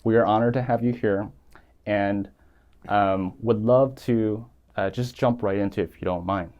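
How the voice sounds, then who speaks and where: A man's voice: on the low side, steady tone, normal speed, no echo, dull audio. A young man hosting a podcast in a small studio room, reading a formal introduction and asking questions in an earnest way. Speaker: podcast host. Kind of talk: scripted intro then interview questions. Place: small dim studio room, seated in armchair.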